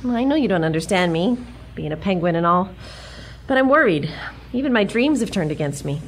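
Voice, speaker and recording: A woman's voice: fairly low pitch, expressive, brisk, a little hiss or hum, natural voice. A woman playing a plucky, earnest young American heroine in a pulp adventure audio drama, spirited and wry. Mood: worried, tender.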